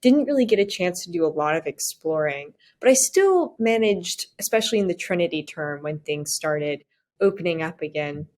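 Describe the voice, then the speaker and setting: A woman's voice: on the low side, animated, normal speed, darkish tone. A young woman chatting casually as a podcast guest, with thoughtful, wry and slightly wordy phrasing. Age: young adult. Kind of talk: casual chat.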